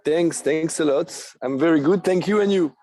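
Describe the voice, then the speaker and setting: A man's voice: a little high, moderate pace, warm tone. A middle-aged man with a strong French accent, speaking as a podcast guest with many "uh" fillers and repeated words. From France. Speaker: guest, NFT project creator. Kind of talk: podcast interview.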